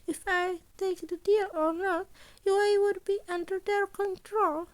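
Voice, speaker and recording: Casting: small animal headmaster played by a woman, very high-pitched, formal, deliberate, calculating schemer for an audio story. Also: steady tone. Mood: scheming. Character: small scheming mammal school principal, male, formal and calculating.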